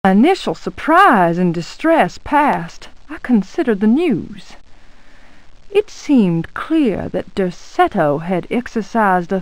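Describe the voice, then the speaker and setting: Genteel troubled niece, dramatic horror game monologue, medium pitch, deliberate pace, dry recording. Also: female voice, no echo, poor audio. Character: anxious, resolute genteel niece in gothic horror. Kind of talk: scripted game monologue narration.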